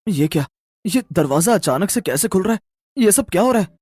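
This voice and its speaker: A man's voice: high-pitched, expressive, brisk, a little mellow, slightly rough, no room sound, studio quality. A man playing a scared, insistent college-age son in a horror story, anxious and stammering. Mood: frightened.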